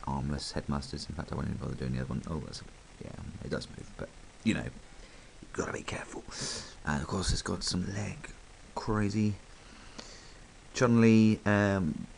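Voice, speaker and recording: A man with a croaky voice from hay fever, chatting casually and rambling a little as he reviews a toy. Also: extremely low pitch, a little mellow, a little hiss or hum, rough recording. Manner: chatty, rambling, full of ums.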